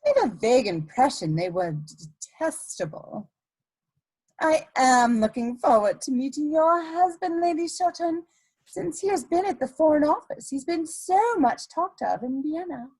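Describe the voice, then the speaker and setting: Arch, high-voiced scheming society lady played by a woman. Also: animated, a little slow, a little mellow, poor audio. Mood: arch, polite. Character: witty, scheming society woman newly arrived from Vienna. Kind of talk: dramatic reading of a period stage comedy.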